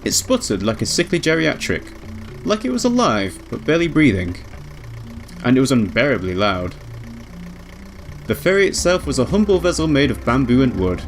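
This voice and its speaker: A man narrating a visual novel aloud as a let's player, in an expressive storytelling style. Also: medium pitch, moderate pace, pauses often. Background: background music.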